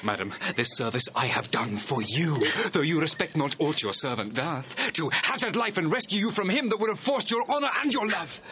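An animated, ardent young suitor. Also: male voice, fairly high pitch, normal speed, few pauses. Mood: pleading, ardent. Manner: impassioned, declamatory. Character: ardent, false young gentleman suitor, pushy. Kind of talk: classical Shakespearean audio drama acting. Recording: dull, noisy vintage audio recording.

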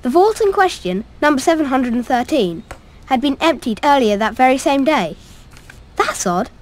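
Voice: high voice, very animated, moderate pace, a little hiss or hum. An actor playing an earnest, inquisitive young schoolboy wizard in a video game. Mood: curious. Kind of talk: video game cutscene acting.

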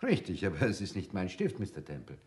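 An actor playing a polite, guarded and slightly indignant doctor in a German radio crime drama, precise and faintly sardonic. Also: male voice, deep voice, wildly swooping pitch, brisk, darkish tone. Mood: firm, indignant.

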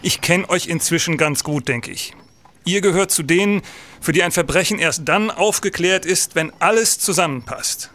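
An actor playing a stern, troubled police senator in a radio play, speaking gravely and emphatically. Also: male voice, a little high, moderate pace, fairly bright tone, lightly acted. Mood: grave, brooding. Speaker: actor in an audio drama. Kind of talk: German radio play acting.

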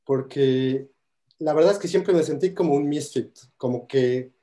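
A man's voice: mid-range voice, unhurried. A man in his thirties with a Mexican accent, giving an earnest toast on a video call through a headset. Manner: earnest, heartfelt, warm thanks. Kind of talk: spoken toast at an online awards event. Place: home room on a video call.

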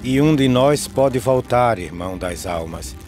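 A man playing a grave, matter-of-fact peasant pallbearer, reciting rhythmic verse in an animated film. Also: mid-range voice, measured pace, close to an everyday voice. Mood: grave, resigned. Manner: solemn, rhythmic, dropping on refrains. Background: music.

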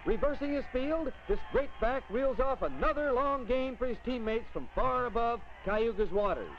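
Male newsreel commentator, American accent, very high voice, swooping lively play-by-play, vintage sports style. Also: normal speed. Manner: animated play-by-play delivery. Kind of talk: 1950s newsreel game commentary. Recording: dull, noisy vintage film soundtrack.

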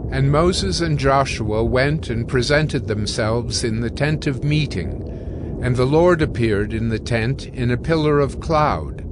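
A man's voice: medium pitch, unhurried, noisy background. A man narrating a dramatized scripture recording in a scripted style.

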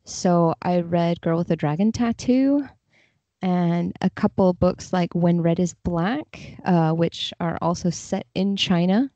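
A woman's voice: fairly low pitch, slow pace, dark tone, no room sound. A woman talking reflectively and thoughtfully in conversation as an interview guest. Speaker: podcast guest, literary translator. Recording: slightly dull lo-fi audio.

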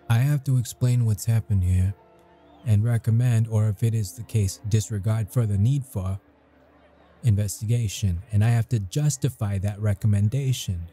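An actor playing a grumpy but caring military intelligence interrogator in an ASMR audio roleplay, speaking in measured, halting phrases. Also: male voice, lowish voice, warm tone, natural voice. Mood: firm, frustrated. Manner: measured, halting, deliberate delivery.

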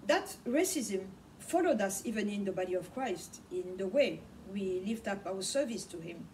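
A woman's voice: medium pitch, lively intonation, normal speed, darkish tone. A middle-aged woman whose first language is Swahili, preaching to camera in a small home study. She repeats words for emphasis. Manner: impassioned, repeats words for emphasis, gestures a lot. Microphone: camera mic from across the room. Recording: distant mic with faint room noise.

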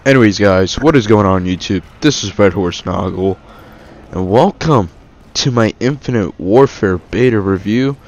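A man's voice: lowish voice, moderate pace, lo-fi sound. A young man casually reviewing a shooter game over gameplay, rambling with many fillers and restarts. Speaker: gaming YouTuber.

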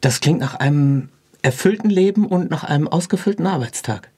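A man's voice: a little high, animated, brisk, dark tone, breathy edge, no room sound. A man hosting an interview podcast in a conversational way, recorded close in a studio. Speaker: podcast host.

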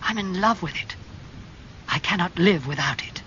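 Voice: mid-range voice, animated, normal speed, sharp tone, lo-fi sound, a little hiss or hum, pitched above the performer's own voice. A reader voicing a vain young man who speaks with impassioned, pleading urgency about staying young.

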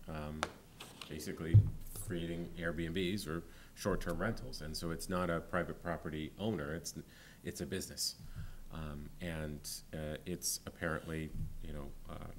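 A man in his forties, a town planning official, answering a board's questions at a public meeting, often pausing with ums and restarting sentences. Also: sits low, a little hiss or hum. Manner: hesitant, many ums and restarts. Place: town hall meeting room. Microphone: desk microphone.

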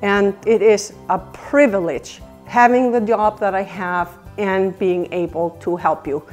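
A woman's voice: medium pitch, steady tone, darkish tone, soft background music. An older woman from Iceland with an Icelandic accent, earnestly introducing herself as a realtor to camera in a living room. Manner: earnest, measured, gesturing with both hands. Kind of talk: promotional self-introduction to camera. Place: indoor living room by a stone fireplace.

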